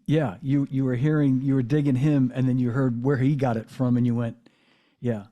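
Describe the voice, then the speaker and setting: A man hosting a casual interview, speaking in halting phrases with many restarts and affirmations. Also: mid-range voice, flat delivery, warm tone. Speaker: podcast host and drummer.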